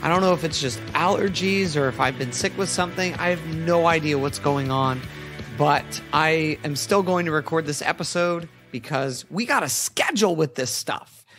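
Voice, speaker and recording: A man hosting his own podcast, talking solo in an earnest, chatty way with a hoarse, raspy voice from losing it. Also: on the high side, moderate pace, music in the background. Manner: earnest, chatty, self-correcting. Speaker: podcast host.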